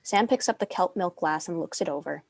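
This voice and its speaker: A woman's voice: on the low side, fairly quick, vocal fry, no echo. A young woman reading screenplay stage directions as a narrator. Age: young adult.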